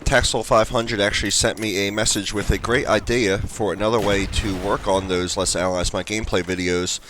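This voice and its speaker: A man's voice: on the low side, fairly even intonation, brisk. Congested young man commenting over gunfire. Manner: chatty, rambling with fillers. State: stuffed up, congested. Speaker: gaming channel host. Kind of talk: casual gameplay commentary. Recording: game gunfire noise behind.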